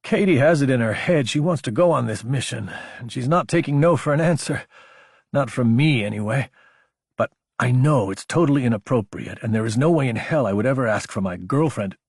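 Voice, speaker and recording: A man's voice: medium pitch, animated, brisk, gravelly, husky, dry recording, clean studio sound. A man voicing an anxious, earnest young military officer in an audiobook, protesting in informal speech. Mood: awkward, earnest.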